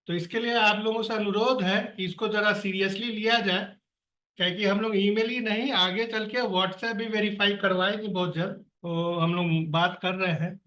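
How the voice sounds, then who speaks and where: A man speaks on a video call, earnestly pressing his colleagues to act. His voice sits high and sounds bright and tense. He is heard from some distance in an echoey room. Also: steady tone, moderate pace. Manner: earnest, exhorting, exasperated pleading. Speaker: project coordinator on a staff call. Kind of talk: online meeting, urging staff. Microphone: computer or phone on a video call.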